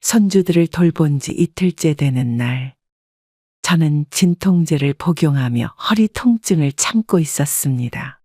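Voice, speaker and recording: A woman's voice: deep voice, expressive, unhurried, mellow, no room sound, clean studio sound. A woman reading a scripted first-person story as an audiobook narrator.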